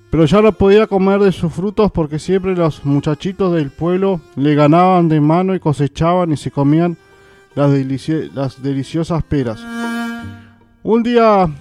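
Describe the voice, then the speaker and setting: A man's voice: a little high, darkish tone. A man reading scripted narration aloud as a narrator.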